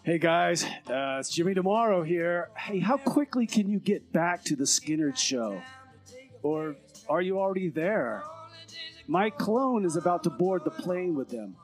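Radio comedy role: male actor as easygoing, hip time traveler, slightly high voice over faint guitar. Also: animated, measured pace. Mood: casual concern. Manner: casual, hip slang. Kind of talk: comedy radio skit monologue.

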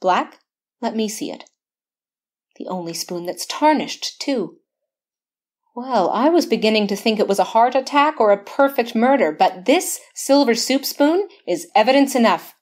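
A woman playing a brisk, shrewd homicide sergeant. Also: fairly high pitch, lively intonation. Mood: knowing. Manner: matter-of-fact, knowing. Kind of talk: old-time radio mystery acting.

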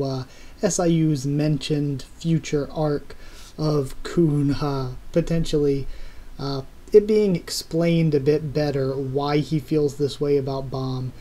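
A young man in his twenties reviewing a webcomic to his webcam from a small room, hoarse from losing his voice and rambling with many ums and self-corrections. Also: on the high side, warm tone, slight background noise. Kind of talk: casual review monologue to camera. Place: small room indoors, plain wall.

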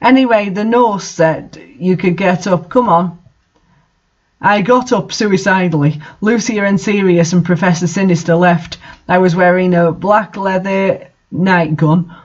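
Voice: medium pitch, unhurried. Bemused fan fiction reader, slightly muffled. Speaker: host reading bad fan fiction aloud. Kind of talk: fan fiction reading with casual commentary.

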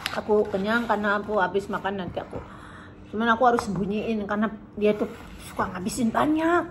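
A woman's voice: medium pitch, brisk, noisy background. Chatty Indonesian woman reviewing food. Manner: chatty, savoring, hums while eating. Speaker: food vlogger. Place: bright living room indoors. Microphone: camera on table in front.

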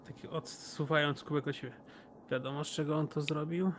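Higher-pitched man in casual role-play. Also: level tone, a little fast, a little hiss or hum. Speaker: tabletop game player.

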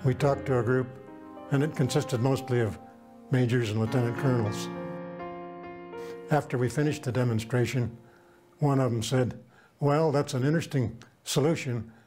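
A man's voice: mid-range voice, fairly even intonation, normal speed, pauses often, a little mellow, faint music behind. An older man recounting memories in a documentary interview.

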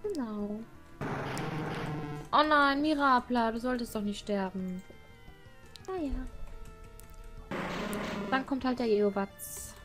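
Young woman gamer with a high, unhurried voice. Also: a bit bright. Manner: giggly, playful, exclaiming. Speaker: let's play host. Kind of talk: casual gaming commentary with a co-player. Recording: game music behind the voice. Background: video game music.

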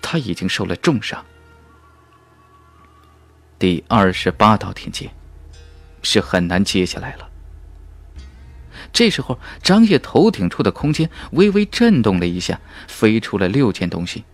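A man reading a fantasy novel as an audiobook narrator, in a dramatic, measured and emphatic storytelling manner. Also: lowish voice, very animated, soft background music.

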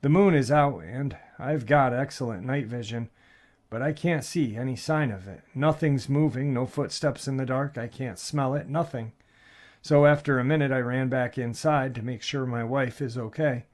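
A man calmly reading out listeners' first-person stories of frightening encounters. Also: medium pitch, normal speed. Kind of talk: steady storytelling narration.

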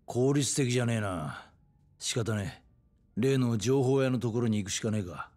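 An actor playing a gruff, world-weary middle-aged detective in a video game cutscene, matter-of-fact in delivery. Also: male voice, medium pitch, moderate pace, darkish tone, lightly acted. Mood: serious, cautioning. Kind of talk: Japanese game cutscene acting.

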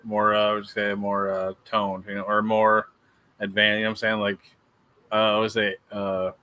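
A man's voice: lowish voice, monotone, normal speed, sharp tone, smooth. A man co-hosting an interview over a video call, talking loosely with lots of fillers and repeated checks on whether he's understood. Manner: loose and filler-heavy, keeps asking "you know what I'm saying". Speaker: co-host interviewer. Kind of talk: casual interview chat. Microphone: webcam on a video call.

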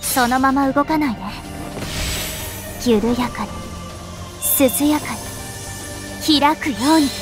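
A woman playing a regal, serene young planetary spirit princess in an anime game, speaking formally and with measured elegance. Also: sits high. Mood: gentle, calm. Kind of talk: scripted anime game voice lines. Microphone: studio microphone. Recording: music behind a close dry studio voice.

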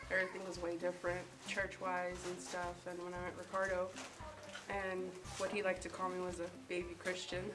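A woman of twenty-eight talking in a slow, hesitant way as a panel guest. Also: fairly low pitch, far from the mic. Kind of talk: casual testimony. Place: small wood-paneled studio room.

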